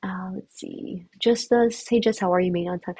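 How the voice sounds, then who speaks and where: A woman's voice: mid-range voice, fairly even intonation, moderate pace, a little mellow, husky, no echo. A young woman whose first language is Vietnamese, hosting a livestream in casual, upbeat chat with viewers, with many fillers. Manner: chatty and upbeat, lots of fillers. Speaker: livestream host.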